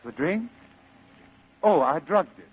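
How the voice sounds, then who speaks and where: A sly, fat older gentleman villain in vintage radio style. The voice sits fairly high for a man and swings widely as it savours courtly phrases. The sound is a muffled old broadcast. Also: animated, slow, husky, full character voice. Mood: pleased, sly. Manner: courtly, leisurely, ornate speech. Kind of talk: 1940s radio acting.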